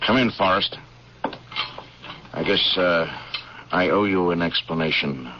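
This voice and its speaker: Very deep-voiced, stubborn army major. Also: male voice, unhurried. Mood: grudging, guarded. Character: bitter, stubborn army major, freed Civil War prisoner with a cane. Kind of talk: old-time radio drama acting. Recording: muffled, noisy old radio broadcast recording.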